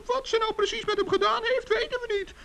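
A performer playing a kindly, earnest little male gnome with a squeaky, creaky voice in a children's radio play. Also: steady tone, fast talker, fairly bright tone, slight background noise, full character voice. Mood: earnest, concerned. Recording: dull old radio recording.

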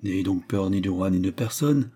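Proud queen for a historical audiobook, played by a man: commanding, emphatic, theatrical, with a dark, husky tone. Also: low voice, moderate pace. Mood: haughty. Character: proud, imperious queen, defiant and wounded in love. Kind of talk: dramatized historical novel reading.

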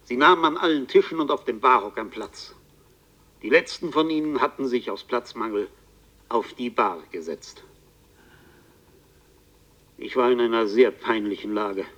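A man's voice: medium pitch, moderate pace, long pauses, a bit bright, close to an everyday voice. An actor playing a rueful bookseller who tells his own story as a radio play narrator, wry and earnest. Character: rueful male bookseller recounting his own strange adventure.

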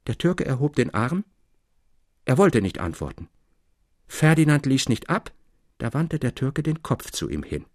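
A man reading literary prose as a measured, articulate and expressive storyteller. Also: medium pitch, dry recording, clean studio sound. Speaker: audiobook narrator. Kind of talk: literary scripted narration. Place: dry recording studio.

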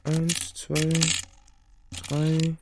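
Sullen defiant kid in a radio drama, talks slow, noisy background. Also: male voice, on the high side, monotone. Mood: counting, intent. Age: child. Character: sullen, defiant eleven-year-old boy, counting his savings. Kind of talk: radio play acting.

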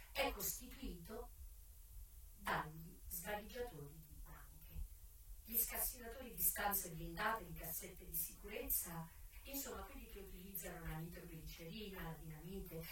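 An actress playing a worldly, frank and wry American brothel madam, telling her life in a dramatic radio theatre monologue that moves between hushed confiding and projected declaiming. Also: lowish voice, slight background noise. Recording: echoey, distant stage sound with music. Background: music.

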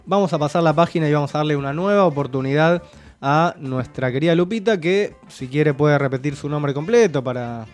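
A man's voice: on the high side, brisk, faint music behind. A man with an Argentine accent hosting a comic radio show in a studio, dry, hesitant and mock-suspicious. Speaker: radio host. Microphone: studio microphone.

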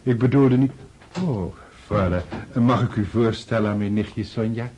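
An actor playing a dejected, muddled older count in a radio play, courteous, hesitant and apologetic. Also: male voice, on the low side, a little slow, warm tone, lo-fi sound, a little hiss or hum. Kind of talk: Dutch radio play acting.

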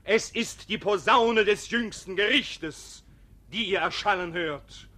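A man's voice: high-pitched, slow, bright, forceful tone, full character voice. A man playing a fervent street preacher in a German radio play, accusing sinners in solemn, declamatory oratory. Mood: apocalyptic warning.

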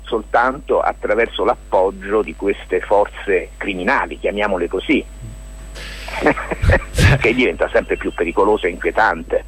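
A man with a fairly deep, rough-edged husky voice answers as a radio interview guest. He talks in a halting, spontaneous way, repeating himself and seeking confirmation as he goes. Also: fairly bright tone, low-quality recording. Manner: halting, circling back with check-in tags like "capito" and "no?". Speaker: lawyer and stage author, interview guest.

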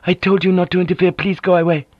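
A man reading a story aloud, voicing a proud, wounded noblewoman who speaks calmly and bitterly. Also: fairly low pitch, fast, dry recording, highs cut off, pitched above the performer's own voice, lightly acted. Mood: pleading. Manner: calm, controlled, bitter.

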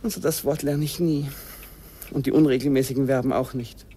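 An actor playing a naive young student son, boyish and hesitant, in an old radio play. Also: male voice, mid-range voice, steady tone, fairly quick, warm tone, natural voice. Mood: anxious studying. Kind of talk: 1950s radio acting. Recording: old radio recording with faint noise.

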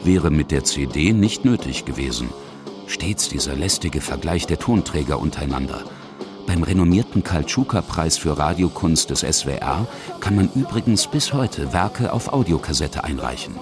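Male documentary narrator, bass-leaning register, scripted read over a music bed. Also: lively intonation, normal speed. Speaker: feature author and narrator. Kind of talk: scripted radio feature narration. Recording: music under the voice.

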